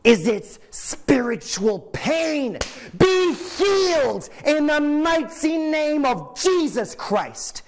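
Preacher, male, impassioned prayer delivery, swooping pitch, very slow and deliberate. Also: big pitch swings. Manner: impassioned, sweeping arm gestures. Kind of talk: fervent prayer declarations. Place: studio in front of a logo screen. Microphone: lapel.

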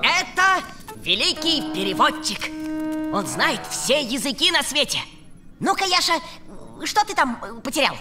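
An excitable, inquisitive little dragon boy from a children's cartoon. The voice is high and swings widely in pitch, full of exclamations. Loud music plays along behind it. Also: unhurried. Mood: explaining. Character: small lively young male cartoon dragon.

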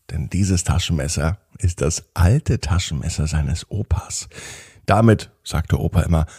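A man hosts a children's podcast, narrating a bedtime story expressively with drawn-out phrases. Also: lowish voice, darkish tone, slightly rough, dry recording, clean studio sound.

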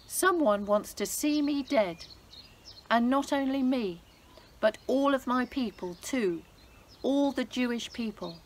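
A woman's voice: on the high side, lively intonation, moderate pace, a bit bright. Woman playing a brave, earnest young queen. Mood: sad. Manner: firm, earnest.

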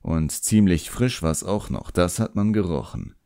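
A low-pitched old imp servant, performed by a male audiobook reader, recorded close and dry. He is cheeky and put-upon, grumbling in slang and forever stalling. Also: animated, measured pace, mellow, dry recording. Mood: evasive, wry.

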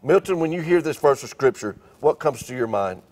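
A man's voice: medium pitch, expressive, a little fast, darkish tone. A middle-aged man leading a devotional panel as pastor, earnest and encouraging, speaking into a handheld mic outdoors. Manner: earnest, encouraging, repeats words. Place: outdoor stage by a wooden fence.

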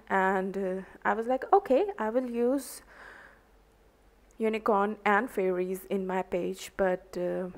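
A woman with an Indian English accent, a native Hindi speaker, narrating an art journaling tutorial in a halting way, full of ums and uhs. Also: medium pitch, creaky. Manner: slow, hesitant, many ums and uhs. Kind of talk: crafting tutorial voice-over.

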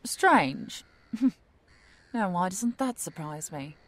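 An actress playing a feisty, skeptical, indignant woman in an audio drama, sharp-tongued and sarcastic. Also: fairly low pitch, expressive, takes it slowly. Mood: incredulous.

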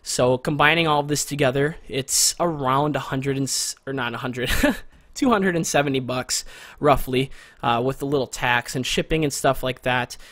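A young man talks casually into a close condenser mic, rambling a little and repeating words for emphasis. His voice sits at an ordinary pitch, masculine without being deep. The sound is dry and close, recorded in a small bedroom. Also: normal speed, fairly bright tone, dry recording. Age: young adult. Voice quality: not very deep but masculine voice. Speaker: gear reviewer. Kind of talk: casual product walkthrough. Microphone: studio condenser mic with pop filter, close.

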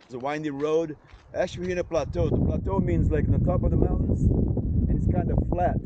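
A man's voice: a little high, fairly even intonation, brisk, dull audio. A man narrating a travel vlog in a casual, rambling, informal way. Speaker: travel vlogger. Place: outdoors at roadside scenic stops. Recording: windy, noisy outdoor recording.

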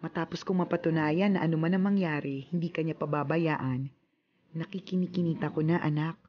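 An actress playing a gentle older mother earnestly counseling her daughter, on a muffled radio drama recording. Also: low-pitched, steady tone, a little fast, a little hiss or hum, lightly acted. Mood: advising.

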